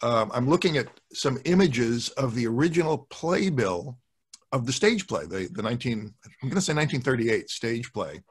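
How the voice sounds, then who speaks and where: An older man as a guest co-host, explaining things in a measured, precise way in casual discussion. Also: mid-range voice, expressive, slightly rough. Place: home office, indoors, video call. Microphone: large desktop microphone.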